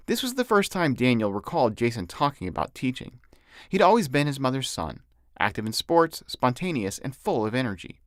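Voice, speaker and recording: A man's voice: medium pitch, no room sound, clean studio sound. A man reading his own novel as scripted audiobook narration.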